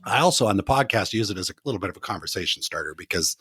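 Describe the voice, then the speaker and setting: Guy hosting a travel podcast, kinda husky, bouncy pitch, playful. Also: lively intonation, very fast, sharp tone, soft background music. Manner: candid, playful, acts out quoted lines. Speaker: podcast host. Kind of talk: casual interview chat.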